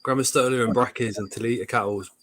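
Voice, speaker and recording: A man runs a relaxed live stream. He jokes around, swears casually, and reads viewer comments aloud in an easy, chatty way. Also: mid-range voice, quick pace. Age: 40s.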